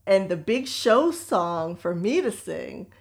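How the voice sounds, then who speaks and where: Woman co-host, lively anecdote teller, conversational delivery. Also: mid-range voice, slow. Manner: animated, laughing storyteller. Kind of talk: casual storytelling chat.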